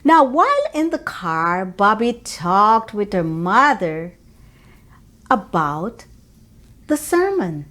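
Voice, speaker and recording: Female children's storyteller: deliberate, instructive, slow pace, very lively intonation. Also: medium pitch. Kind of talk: expressive narration of a children's religious story.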